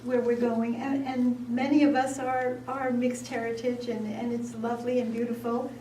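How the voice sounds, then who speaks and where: A woman moderating a panel discussion in a meeting room, chatty and relaxed with fillers and little laughs. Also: fairly high pitch, normal speed, a little hiss or hum. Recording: echoey room, far from the mic.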